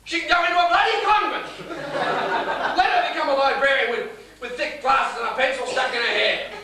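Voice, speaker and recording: A man's voice: extremely high pitch, a little fast, a crowd in the background. A man playing a blustering, furious father of the bride. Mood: furious ranting. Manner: blustering, ranting, sarcastic shouting. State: drenched and injured. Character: exasperated middle-aged father of the bride. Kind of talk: stage comedy acting. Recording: echoey stage recording from far off with noise.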